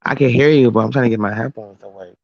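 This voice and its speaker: Gravelly man on a muffled phone. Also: medium pitch, fast talker, darkish tone. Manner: blunt, swears casually, distracted. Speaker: live stream host. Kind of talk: casual chat.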